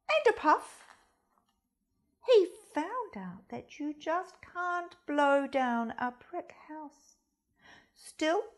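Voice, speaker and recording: A woman storyteller reading a children's storybook expressively, with theatrical, deliberate phrasing. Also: sits high, a bit bright. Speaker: storyteller, primary school reader.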